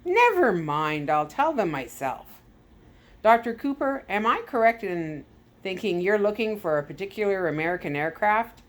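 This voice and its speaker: A woman's voice: medium pitch, very animated, natural voice. Woman voicing a smug Soviet KGB villain. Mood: smug explaining. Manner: smug, deliberate, theatrical. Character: gloating Soviet KGB skipper, smug talkative male villain. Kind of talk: storybook read-aloud villain.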